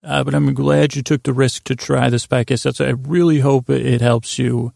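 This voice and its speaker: A man hosting a bedtime podcast in a rambling monologue, halting and trailing off mid-thought, with a gravelly, creaky voice. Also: mid-range voice, fairly even intonation, darkish tone, no room sound, clean studio sound. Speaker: podcast host.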